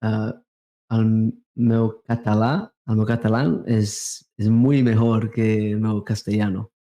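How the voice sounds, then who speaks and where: A man in his thirties, a native Portuguese speaker with a non-native accent, chatting haltingly as an interview guest and searching for words. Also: on the low side, steady tone, warm tone. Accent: non-native, Portuguese-influenced Spanish. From Brazil, raised in England from thirteen. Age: 30s. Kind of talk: casual interview chat.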